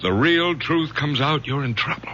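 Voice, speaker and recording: A man's voice: fairly high pitch, animated, moderate pace, bright, forceful tone, dull audio. An actor playing a domineering, agitated middle-aged father in a radio drama, pressing hard and insistently. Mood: agitated, urgent. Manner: insistent, controlling, stammering under pressure. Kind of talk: 1970s radio drama acting. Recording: noisy, humming old radio recording.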